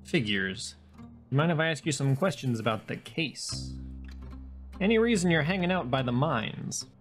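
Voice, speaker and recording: A woman voicing a video game frog detective, earnest and polite, with a deadpan, deliberate manner. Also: sits low, soft background music. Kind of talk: video game character read-aloud.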